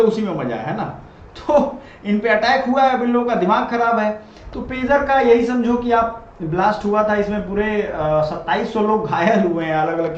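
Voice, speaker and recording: A man gives an informal explanatory lecture as a teacher, speaking colloquially and often checking that listeners follow. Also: high-pitched. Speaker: teacher explaining current affairs. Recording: dull, noisy, echoey room recording.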